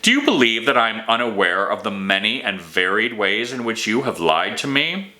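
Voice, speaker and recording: Guy doing a furious contemptuous wizard laying down the law, audiobook style, imperious, tense bright voice. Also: lowish voice, big pitch swings, normal speed, exaggerated voice, poor audio. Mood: accusing fury. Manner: scolding, emphatic, imperious. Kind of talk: dramatic audiobook dialogue.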